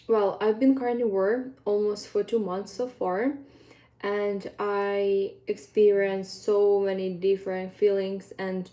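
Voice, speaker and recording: A woman's voice: medium pitch, mellow. A young woman with a Ukrainian accent, a fitness coach chatting to her viewers in an earnest, rambling way with plenty of ums. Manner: earnest, rambling, with frequent ums and non-native phrasing. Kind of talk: casual vlog monologue.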